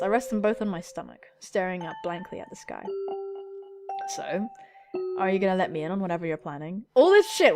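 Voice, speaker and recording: An excitable, giggly young woman reading a visual novel aloud and adding dramatic, sweary commentary as a gaming host. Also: medium pitch. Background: music.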